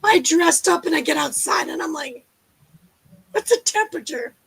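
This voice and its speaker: A woman's voice: very high voice, expressive, vocal fry, a bit bright, no echo, rough recording. A Canadian woman chatting playfully with friends over a video call, joking and teasing as she talks. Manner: playful, teasing, quick to joke. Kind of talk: casual group chat.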